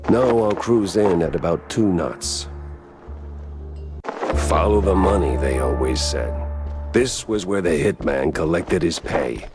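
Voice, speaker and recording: An actor playing a world-weary cartoon mouse private eye in a video game, with wry, deadpan noir delivery. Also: male voice, deep voice, slow pace, music in the background. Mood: brooding. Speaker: detective protagonist and narrator. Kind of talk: 1930s film noir detective voice acting.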